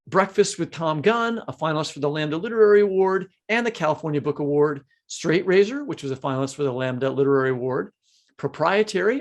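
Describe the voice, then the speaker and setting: A man co-hosting a podcast in a conversational, joking style that turns halting and rambling off script. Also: fairly high pitch. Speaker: podcast co-host.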